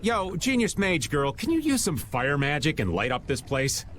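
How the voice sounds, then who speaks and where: An American actor playing a sly, jokey older rogue in an anime game dub, with a folksy, teasing, theatrical manner. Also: male voice, a little high, very animated, moderate pace, a bit bright, a little hiss or hum. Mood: playful.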